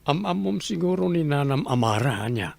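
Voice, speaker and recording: A man playing a calm widowed father in an audio drama, talking things over. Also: a little high, normal speed, creaky, dry recording, full character voice. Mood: calm, probing.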